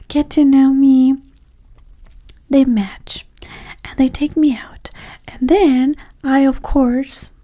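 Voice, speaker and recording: A woman doing a soft-spoken, often whispered ASMR roleplay as a vain, flirty date with a breathy, sassy, condescending manner. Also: sits high.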